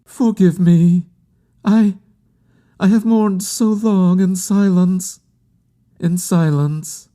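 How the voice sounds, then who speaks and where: Man voicing a grieving, high-pitched devoted woman. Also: steady tone, drawn-out words, long pauses, warm tone. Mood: mournful. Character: grieving, devoted woman in mourning, pleading and intense. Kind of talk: dramatic literary audiobook character acting.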